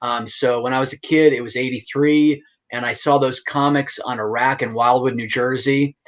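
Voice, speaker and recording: A middle-aged man speaks as an interview guest in a medium-pitched voice. He explains things casually and with enthusiasm. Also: fairly even intonation, moderate pace. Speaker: guest, actor and writer.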